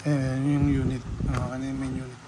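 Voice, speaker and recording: A man narrating a casual hands-on unboxing vlog, halting and thinking aloud as he goes. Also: medium pitch, little pitch movement, noisy background. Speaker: vlogger.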